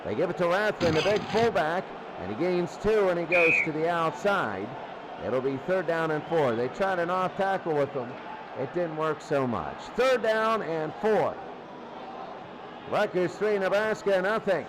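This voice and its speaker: A man's voice: fairly high pitch, lively intonation, unhurried, background noise. A man doing live radio play-by-play of American football, repeating down and distance in short bursts. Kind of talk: live sports radio commentary.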